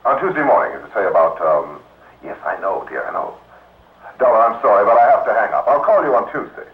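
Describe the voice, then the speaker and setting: An indignant, evasive businessman, mid-pitched and swinging widely in intonation. The old radio drama recording is thin and phone-like. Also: big pitch swings, a little fast, reverberant. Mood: hurried, apologetic. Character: indignant, evasive businessman with a guilty secret, male. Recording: tinny old radio broadcast.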